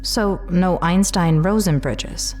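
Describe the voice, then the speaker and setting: An intent woman probes with short questions in a sci-fi audio drama, played by an actress. Her slightly low voice rings with long reverb over music. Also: slow pace, darkish tone. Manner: brief, probing questions.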